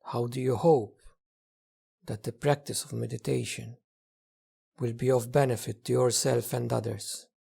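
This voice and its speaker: A man leading a guided meditation as a teacher, speaking gently in short phrases with long pauses. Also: medium pitch, little pitch movement, creaky, slightly rough. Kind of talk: guided meditation, slow spoken instruction.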